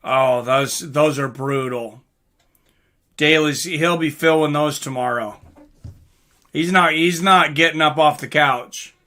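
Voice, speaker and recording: Bright-voiced man calling a fight casually. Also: a little high, slow. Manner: informal, conversational. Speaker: livestream fight commentator. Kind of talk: casual live fight commentary with chat asides.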